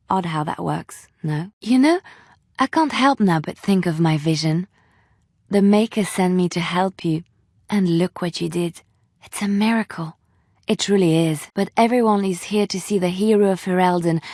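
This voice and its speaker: An actress voicing a gentle, devout young woman adventurer in a video game, soft-spoken and earnest, by turns wistful and playful. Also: fairly low pitch, dry recording, clean studio sound. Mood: sincere, grateful. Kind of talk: video game voice acting, intimate dialogue.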